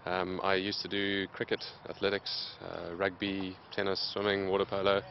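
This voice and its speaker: Interview subject: man in his late 20s with a low voice, talking steadily with lots of "um"s, in a dull, lo-fi outdoor recording. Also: vocal fry, slight background noise. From Zimbabwe. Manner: steady, plain, many "um" pauses. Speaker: interviewed athlete. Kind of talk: casual interview answers.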